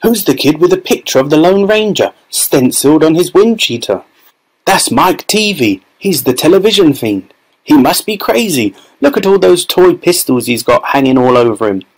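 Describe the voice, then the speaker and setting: A nosy onlooker from a storybook crowd, as a man puts him on. The voice rides high and loud, calling out gossip with relish. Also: very animated, moderate pace, slightly rough, exaggerated voice, poor audio. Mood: excited. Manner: shouting, gossipy.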